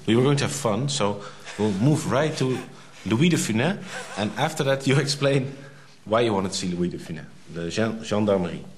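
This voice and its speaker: A man interviewing a guest, asking gentle, probing questions. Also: fairly low pitch, expressive, moderate pace, few pauses, a little hiss or hum.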